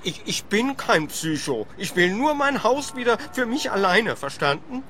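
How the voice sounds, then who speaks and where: An actor playing an anxious, mentally fragile house owner in a radio play, fretful, stammering and pleading. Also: male voice, high-pitched, sing-song, moderate pace, bright, forceful tone, slight background noise, heavily acted.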